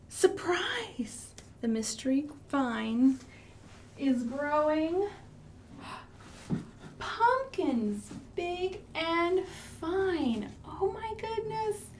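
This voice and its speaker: An American woman leading a storytime for young children in an expressive, sing-song way, with gasps and hushed emphasis. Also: high voice, slight background noise. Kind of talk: children's storytime with singing, rhymes and asides.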